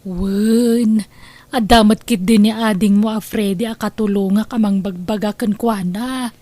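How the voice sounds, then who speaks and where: A woman playing a gentle, worried elderly grandmother in a radio drama, with a Filipino accent and a patient, admonishing manner. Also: medium pitch, fairly even intonation, measured pace, dry recording, close to an everyday voice. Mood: worried, caring. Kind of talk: Ilocano radio drama acting.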